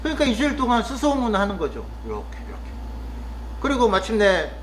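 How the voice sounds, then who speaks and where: A man from Korea's Chungcheong region talking casually and reflectively to the camera, pausing often with fillers as he muses. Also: high-pitched, huge pitch swings, a little hiss or hum. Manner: thoughtful, musing, with frequent pauses and fillers. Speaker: vlogger living in the countryside.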